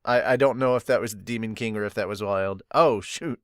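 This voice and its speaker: A man hosting a podcast, casually reading and reacting to a manga, expressive with laughs and swearing. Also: on the low side, fairly quick, clear, resonant tone, no room sound, studio quality. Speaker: podcast host.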